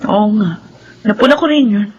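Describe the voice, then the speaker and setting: A woman's voice: mid-range voice, lively intonation, normal speed, darkish tone, breathy edge, muffled recording. A woman playing a curt, hard-hearted young older sister in a Filipino radio drama. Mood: irritated, dismissive. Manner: curt, dismissive. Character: defiant, hard-hearted grown daughter and bossy older sister.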